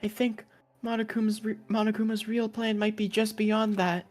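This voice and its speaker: A woman voicing a soft-spoken, reassuring young classmate in a visual novel, speaking gently and a little hesitantly. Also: medium pitch, level tone, normal speed, close to an everyday voice. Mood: thoughtful. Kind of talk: acted dialogue reading from a visual novel.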